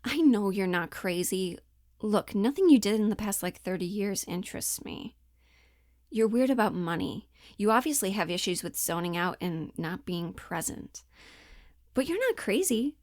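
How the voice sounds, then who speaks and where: A woman's voice: mid-range voice, darkish tone, no room sound, studio quality. A woman playing a pushy, falsely upbeat Hollywood talent agent in a comedic monologue, coaxing, flippant and over-reassuring. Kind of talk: dramatic audition monologue, comedic.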